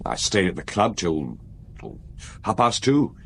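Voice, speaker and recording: An actor playing a young, earnest police inspector in a radio drama, answering respectfully and briefly. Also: male voice, deep voice, sing-song, a little slow, creaky, slight background noise, heavily acted.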